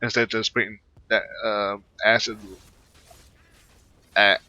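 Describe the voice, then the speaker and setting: Male gamer, slightly low pitch, very sharp tone, halting casual commentary, rambling, slightly lo-fi recording. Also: flat delivery. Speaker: gaming video creator. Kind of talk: casual let's play commentary. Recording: very roomy, slightly dull microphone sound.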